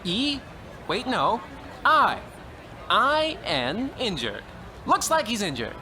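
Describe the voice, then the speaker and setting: A man playing an excitable, melodramatic baseball radio announcer with a theatrical, exclamatory delivery in an old-time radio comedy. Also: high voice, takes it slowly, slight background noise, full character voice. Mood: astonished. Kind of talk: old-time radio sports commentary parody.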